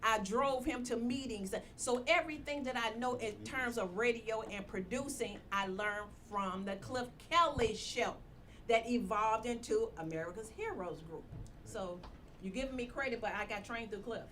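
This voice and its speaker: A woman, the show's executive producer, telling stories in a lively, restarting way on a radio roundtable. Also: fairly high pitch, normal speed, a little hiss or hum. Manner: animated storyteller, many restarts.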